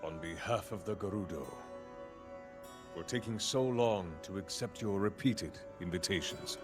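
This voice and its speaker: An actor playing a scheming, falsely courteous desert king in a game cutscene, speaking slowly and deeply. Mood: silky menace. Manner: slow, deep, falsely courteous. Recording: game cutscene over music.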